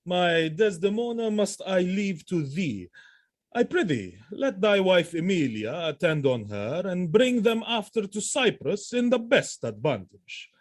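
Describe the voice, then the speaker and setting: An actor playing a proud, ardent general in love, stately and fervent, in a Shakespearean audio drama. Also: male voice, high voice, dry recording, heavily acted. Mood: loving.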